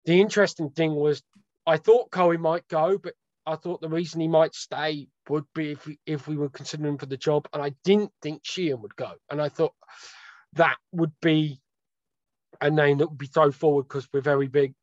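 A man from Wales talks casually as a guest over a video call, rambling and correcting himself with a dry sense of humour. His voice sits a little high. The call audio is dull and lo-fi, with a very dry sound. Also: steady tone, normal speed, no echo. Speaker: podcast guest, football fan.

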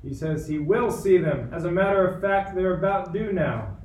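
An actor playing a fussy, anxious, obsequious royal courtier in a radio play, speaking with smooth formality. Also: male voice, high voice, expressive, moderate pace, darkish tone. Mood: gossipy, worried. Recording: roomy, distant mic, faint noise.

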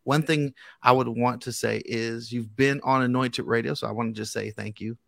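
A man's voice: mid-range voice, fairly even intonation, moderate pace, vocal fry, fairly bright tone, no echo. A man with an American accent hosting a radio interview in an informal, encouraging, slangy way. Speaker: gospel radio host. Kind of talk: casual interview chat.

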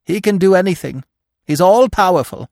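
A man voicing an excitable, gossipy little male squirrel with a shrill, eager voice. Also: normal speed, gravelly, no echo, clean studio sound. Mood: plaintive. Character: excitable chattering male squirrel, small and nosy.

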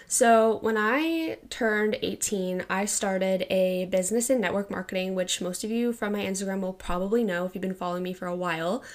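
A young woman in her twenties chats on her own podcast with an excitable, gushing energy, leaning hard on "like" and "literally". She records alone in a quiet room of her house on a home microphone. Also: mid-range voice. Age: 20s. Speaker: podcast host. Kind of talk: casual solo podcast chat.

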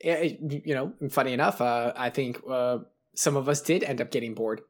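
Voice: mid-range voice, a little fast. Rambling, analytical podcast co-host. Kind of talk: casual film review chat.

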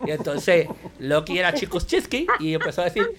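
Animated man reviewing a show. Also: on the high side, brisk. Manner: animated, mixes English words, laughs often. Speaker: podcast co-host. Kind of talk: casual show review chat.

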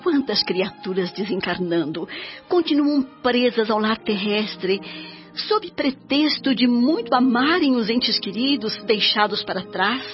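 A Brazilian woman acting a serene, devoted spirit mother in a radio drama, speaking in a solemn, measured way. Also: a little high, animated. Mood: serene, earnest. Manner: solemn, measured, edifying delivery. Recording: dull lo-fi audio under music.

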